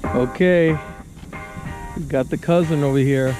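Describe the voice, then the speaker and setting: A man's voice: on the high side, measured pace, over music. Chatty man vlogging from a boat. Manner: easygoing, chatty, bursts into excited shouts. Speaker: fishing vlogger and host. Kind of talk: casual on-camera fishing vlog chat. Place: outdoors on a boat on a bay. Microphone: handheld or head-mounted camera.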